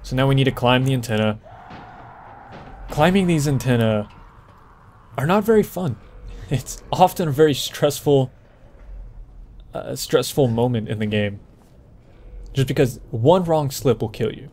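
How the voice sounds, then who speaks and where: Male walkthrough host, conversational and explanatory, mid-pitched voice, faint game noise behind. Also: lively intonation, unhurried, pauses often, a little mellow. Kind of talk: casual gameplay commentary. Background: video game ambience.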